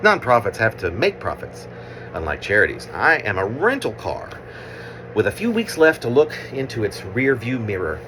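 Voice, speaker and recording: A man reading his own autobiographical poem aloud as a poet, phrasing deliberately with pauses mid-sentence, in a noisy recording. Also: mid-range voice, wildly swooping pitch.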